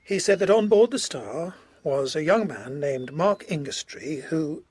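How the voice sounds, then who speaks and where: A grave, courteous Georgian colonel played by a man in a period audio drama. His voice is husky and a little high, telling his tale formally, with measured hesitation. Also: animated, darkish tone.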